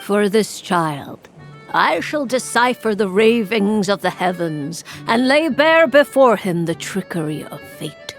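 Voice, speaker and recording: A slow gravelly seer witch. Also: mid-range voice, expressive, soft background music. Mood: solemn.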